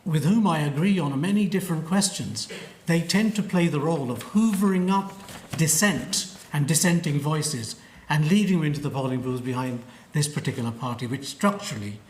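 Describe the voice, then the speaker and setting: A man's voice: on the high side, animated, moderate pace, a little hiss or hum. A man giving an academic panel talk, arguing his points and trailing off with "and so on". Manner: argumentative, hedging with "and so on". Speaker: panel speaker. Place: lecture hall. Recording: echoey lecture hall.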